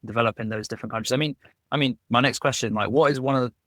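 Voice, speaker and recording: A man's voice: medium pitch, racing, fairly bright tone, dry recording, studio quality. A man hosting a podcast interview, upbeat and chatty, often saying "yeah, no". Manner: upbeat, many fillers like "yeah, no". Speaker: podcast host.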